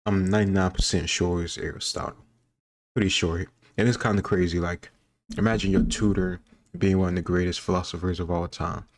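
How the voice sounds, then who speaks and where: A man's voice: deep voice, level tone, brisk. A man giving casual commentary in a rambling, musing way, often qualifying what he says. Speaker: host reacting to quiz questions. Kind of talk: casual commentary on history trivia.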